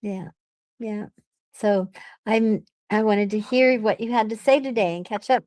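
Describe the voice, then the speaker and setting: A woman's voice: medium pitch, steady tone, a little slow, darkish tone, no echo. A woman who works as an event producer, chatting casually in an effusive, friendly way. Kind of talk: casual chat.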